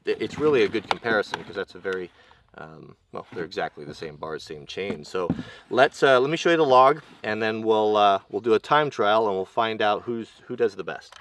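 A man's voice: fairly low pitch, expressive, fairly bright tone, slight background noise. A man in his forties testing tools outdoors, talking casually with many restarts and fillers. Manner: rambling, halting, full of restarts and fillers. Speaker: vlogger testing chainsaws. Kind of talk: casual hands-on demonstration. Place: outdoors in a yard by a tractor.

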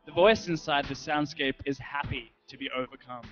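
A man in his thirties giving a measured, poetic literary reading. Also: on the high side, fairly bright tone. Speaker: writer reading his own fiction. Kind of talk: literary reading over a live soundscape. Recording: dull lo-fi web stream audio. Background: music.

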